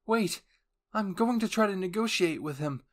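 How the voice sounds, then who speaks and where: A man's voice: sits high, expressive, normal speed, voice pushed up. A man voicing an anxious young male soldier who pleads and negotiates urgently in an anime fan roleplay. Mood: urgent pleading.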